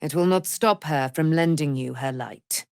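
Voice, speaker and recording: A woman's voice: low-pitched, moderate pace, clear, resonant tone, no echo, studio quality. An actress voicing a proud, righteous immortal warrior woman in a fantasy game, speaking in a grand, declamatory manner with archaic, formal diction. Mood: solemn resolve. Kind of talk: fantasy video game character acting.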